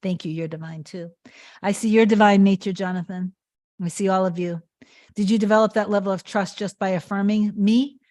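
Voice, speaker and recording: A woman's voice: medium pitch, steady tone, a little fast, darkish tone. A woman coaching on a live stream, answering chat comments in an earnest, emphatic way and often repeating herself. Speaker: manifestation coach.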